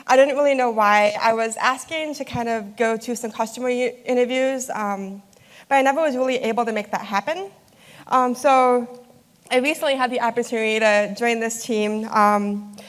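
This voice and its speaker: A woman engineer introduces herself at a conference, her voice sitting a little high as she gets over a cold. She speaks softly and reaches for "um" before most phrases. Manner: soft-spoken, frequent "um". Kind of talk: conference talk.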